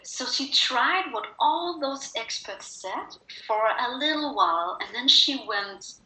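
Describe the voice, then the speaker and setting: Bright, echoey Danish-accented woman telling her story. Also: a little high, animated, takes it slowly. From Denmark. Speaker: interview guest, wellness speaker and coach. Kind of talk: personal storytelling in an interview.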